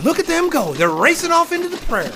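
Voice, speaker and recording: A man's voice: extremely high pitch, sing-song, fast, vocal fry, bright, forceful tone, slight background noise, exaggerated voice. A man playing a good-natured cowpoke fish sidekick in a Western comedy audio drama, with drawling, aw-shucks folksy talk. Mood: excited, urging.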